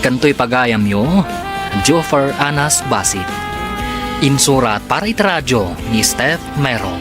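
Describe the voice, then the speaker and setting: A man's voice: mid-range voice, animated, unhurried. Male radio drama announcer over music. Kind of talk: scripted credits announcement.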